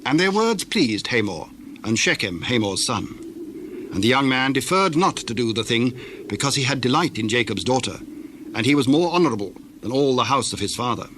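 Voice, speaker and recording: A male narrator reads scripture formally, in a measured storytelling way. His voice sits at a middle pitch, with faint noise and ambience behind it. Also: very animated.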